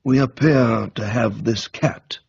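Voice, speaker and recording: A man voicing a grave, deferential English manservant, measured, formal and deadpan, in a radio story. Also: mid-range voice, gravelly, slightly rough, dull audio, pitched below the performer's own voice, exaggerated voice. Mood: unruffled.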